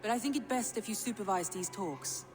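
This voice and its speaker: An actress playing a shrewd, composed Viking clan advisor in a video game, measured and businesslike. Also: female voice, mid-range voice, faint music behind, lightly acted. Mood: composed, advising. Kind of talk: dramatic video game acting.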